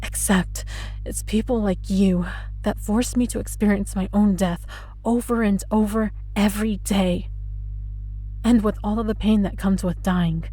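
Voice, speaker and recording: A woman playing a vengeful, mocking immortal lab test subject in a dramatic audio roleplay monologue, speaking in a deliberate, taunting way. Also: medium pitch, darkish tone, lightly acted. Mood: bitter, composed. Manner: taunting, sardonic, deliberate, addresses listener with mock endearments.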